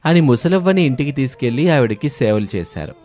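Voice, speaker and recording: A man's voice: medium pitch, animated, fairly quick, a little mellow. A man narrating a scripted moral story. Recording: music behind the voice.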